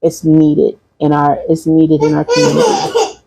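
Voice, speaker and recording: A woman's voice: low-pitched, wildly swooping pitch, a little slow, warm tone, low-quality recording. A woman from Michigan, a holistic practitioner, wrapping up her livestream class in a rambling, repeating way and talking to viewers. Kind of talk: casual livestream class wrap-up.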